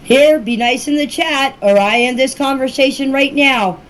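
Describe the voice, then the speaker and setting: High-voiced woman, insistent, noisy recording. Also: normal speed. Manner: defensive, insistent, emphatic. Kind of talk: personal account answering questions in a voice message. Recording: noisy amateur voice-clip recording.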